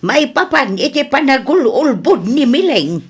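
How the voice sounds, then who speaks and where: A stern Ilocano Filipino woman in charge, played by a woman, with a somewhat high voice. She interrogates bluntly and suspiciously in radio drama style. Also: sing-song, measured pace. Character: stern, suspicious older female authority, boss or mother.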